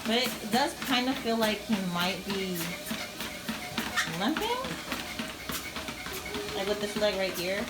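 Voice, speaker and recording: A woman chatting casually in a vlog while training her dog, rambling and coaxing him along in a high sing-song voice. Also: expressive, slow pace, pauses often, very noisy. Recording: roomy echo with loud music behind.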